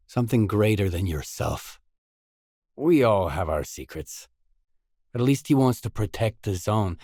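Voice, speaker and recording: An actor playing an earnest, determined stalker in a post-apocalyptic video game, speaking in a measured, solemn way. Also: male voice, on the low side, mellow, no room sound, studio quality. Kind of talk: scripted video game dialogue.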